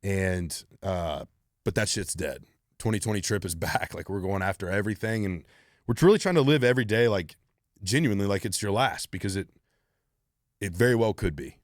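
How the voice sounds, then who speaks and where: A man's voice: low-pitched, expressive, normal speed, no room sound, studio quality. A man in his thirties hosting a solo Q&A podcast, talking bluntly and driven, swearing freely. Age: 30s. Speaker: podcast host.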